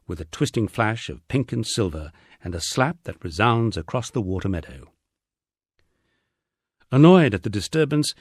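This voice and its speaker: A middle-aged man narrating a humorous country memoir as an audiobook, with measured, expressive storytelling. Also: on the low side, dry recording, clean studio sound.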